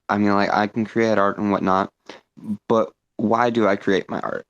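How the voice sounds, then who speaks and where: Man, lowish monotone voice, casual explanation, conversational, dry close sound. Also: no echo. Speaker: speaker giving advice. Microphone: live online audio room.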